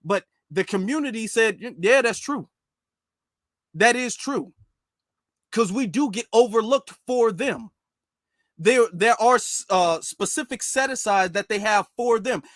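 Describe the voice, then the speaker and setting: Guy streaming political commentary, high voice, really dry close sound, repeats stuff for emphasis. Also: expressive, a little slow, a bit bright, no room sound. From United States. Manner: emphatic, repeats phrases for stress. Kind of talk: informal political commentary to an online audience.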